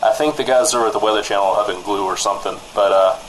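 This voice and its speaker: A man's voice: on the low side, racing, close to an everyday voice, rough recording. A man playing an exasperated, rambling small-town radio news host in a comedy show. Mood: bemused, chatty. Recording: clear background noise.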